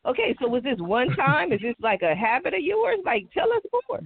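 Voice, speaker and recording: A woman co-hosting a casual talk show, speaking in an emphatic, expressive way. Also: sits high, fairly quick, breathy edge, no room sound. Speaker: podcast co-host. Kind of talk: casual chat. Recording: tinny phone line with hiss.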